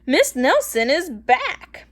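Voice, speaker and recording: A woman voicing a pompous male school principal in a storybook, speaking in a deliberate, formal way. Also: sits high, sing-song, voice pushed up, exaggerated voice. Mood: self-assured.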